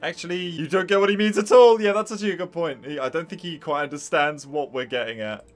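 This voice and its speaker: A man doing casual, expressive live commentary as he streams and reacts to a video game. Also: fairly high pitch, fast talker, soft background music.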